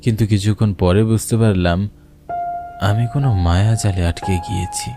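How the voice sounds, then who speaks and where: A man's voice: lowish voice, steady tone, close to an everyday voice. A man playing a frightened villager who narrates his own ghost encounter in a dramatic, suspenseful horror-cartoon style. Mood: fearful, suspenseful. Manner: suspenseful, deliberate. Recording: prominent music behind narration.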